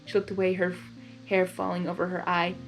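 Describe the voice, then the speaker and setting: A woman reading fan fiction aloud as expressive narration, with casual chatty asides. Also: lowish voice, a little fast, faint music behind, rough recording.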